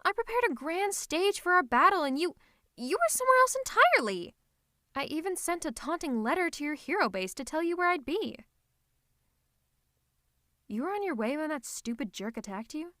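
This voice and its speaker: A woman's voice: sits high, expressive, normal speed, long pauses, no echo, studio quality. A woman voicing a theatrical, possessive female supervillain who is secretly a tender lover, in a scripted ASMR roleplay with a dramatic, haughty, teasing manner. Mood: indignant, fussing. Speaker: ASMR voice performer.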